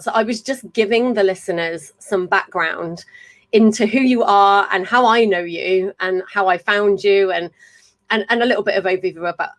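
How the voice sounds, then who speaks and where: Host, thirties, woman: chatty and self-mocking, swears freely, mid-pitched voice, talking over a video call with a fan humming behind her. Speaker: podcast host. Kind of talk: casual live-stream interview. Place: home room with a fan humming. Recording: fan hum in the background.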